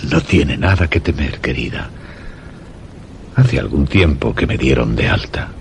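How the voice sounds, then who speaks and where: An actor playing an obsessive, unhinged widowed master of a house in a suspense radio drama, courteous yet intense. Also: male voice, rumbling low, lively intonation, measured pace, slight background noise, heavily acted. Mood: controlled, courteous. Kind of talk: Spanish-language suspense radio drama acting.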